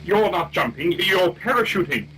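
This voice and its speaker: A man's voice: high voice, lively intonation, measured pace, very noisy. A man playing a glib military jump instructor in a comic radio play, hedging as he reassures. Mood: evasive.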